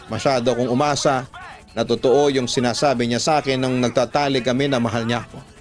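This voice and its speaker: A man's voice: mid-range voice, steady tone, brisk. A man with a Filipino accent reading a listener's love letter as a scripted first-person radio narration.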